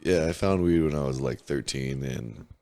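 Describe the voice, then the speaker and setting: A hoarse man in his twenties from New Mexico, a podcast guest telling candid, profane stories in heavy slang. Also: rumbling low, moderate pace, a little mellow. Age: 20s. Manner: laid-back, profane, storytelling, heavy slang. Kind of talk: casual candid interview chat.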